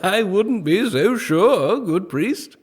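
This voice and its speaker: A man's voice: high voice, lively intonation, slow pace. An actor playing a mild, affable, hesitant medieval king in a comic audio drama. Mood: mild, amused.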